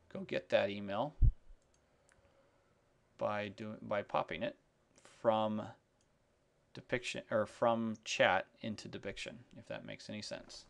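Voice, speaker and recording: A man giving an unscripted screencast tutorial in a halting, explanatory, step-by-step manner. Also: fairly low pitch, vocal fry. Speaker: software demonstrator. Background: keyboard typing and mouse clicks.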